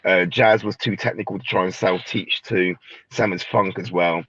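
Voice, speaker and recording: A man with a fairly low voice talks loosely and at length. He pads his sentences with "you know" and drifts from one thought to the next. The sound is dry and dull, like a lo-fi call. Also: steady tone, normal speed, a bit bright, no echo. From Birmingham, England. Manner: rambling, many "you know" fillers. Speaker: band drummer, guest. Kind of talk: casual podcast chat. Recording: dry, dull lo-fi remote audio.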